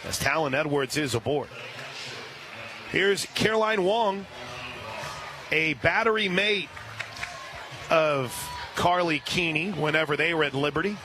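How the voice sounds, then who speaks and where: A man doing live radio play-by-play of a softball game, excitable on big plays. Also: on the high side, measured pace, pauses often, background noise.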